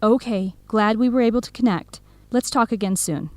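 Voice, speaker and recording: A woman's voice: on the high side, moderate pace, darkish tone, close to an everyday voice. A woman playing a supportive, frank young best friend in a radio drama, with a direct, encouraging, conversational manner. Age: young adult. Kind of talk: scripted radio drama dialogue.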